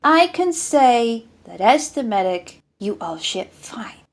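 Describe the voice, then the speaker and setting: A woman's voice: on the high side, big pitch swings, takes it slowly, pitched above the performer's own voice, exaggerated voice. A woman voicing cheeky, griping military squad members in an audiobook story, with a wisecracking manner. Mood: wry, griping.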